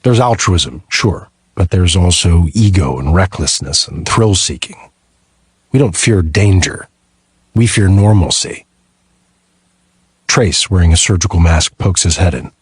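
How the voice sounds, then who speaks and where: A man's voice: deep voice, lively intonation, a little slow, husky, dry recording. A man narrating a first-person thriller audiobook from a script.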